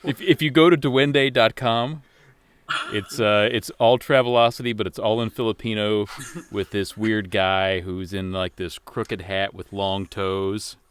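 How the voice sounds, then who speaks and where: A man's voice: lowish voice, steady tone, a little slow, smooth. A man on a comedy panel, explaining something while stammering and repeating himself. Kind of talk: casual comedic banter.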